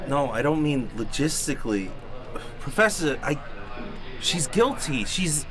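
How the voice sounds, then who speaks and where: A man's voice: a little high, sing-song, a little slow. A man playing an earnest, easily flustered police officer in an improvised radio comedy, hesitant and stammering. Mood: defensive. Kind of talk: improvised old-time radio comedy acting.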